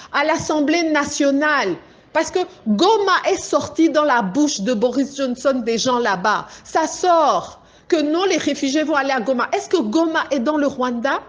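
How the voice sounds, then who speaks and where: A woman from Congo with a Congolese French accent, giving an impassioned political address to a group as an activist, urgent and insistent, driving her points home. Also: high-pitched. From Democratic Republic of the Congo.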